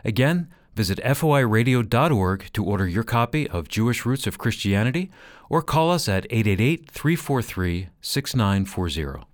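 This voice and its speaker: Low, very animated male radio announcer. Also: moderate pace, dry recording, clean studio sound. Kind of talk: scripted promotional narration.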